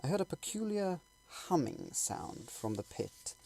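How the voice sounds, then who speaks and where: A man reading a classic novel aloud as a dramatic audiobook, with theatrical, suspenseful and deliberate phrasing. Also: sits high, sing-song, gravelly, mellow. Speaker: audiobook reader.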